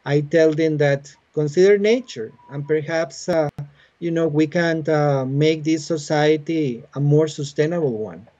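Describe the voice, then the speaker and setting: A man in his forties from Costa Rica with a Spanish accent, a biologist calmly explaining his work in a halting way with many fillers, speaking from home on a video call. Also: on the high side. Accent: Costa Rican Spanish. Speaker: biologist and university teacher. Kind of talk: explanatory interview answers. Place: home room with bookshelves. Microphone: laptop webcam on a video call. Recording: muffled video call audio.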